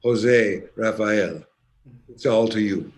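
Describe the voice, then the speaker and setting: A man hosting a concert, introducing performers and interviewing them in a measured, courteous presenter's manner. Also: on the low side, a little mellow. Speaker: concert host.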